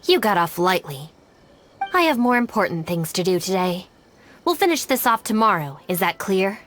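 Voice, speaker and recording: A woman's voice: mid-range voice, sing-song, normal speed, fairly bright tone, a little hiss or hum. An actress playing a stern, exasperated young woman in a video game, with a curt, scolding, no-nonsense manner.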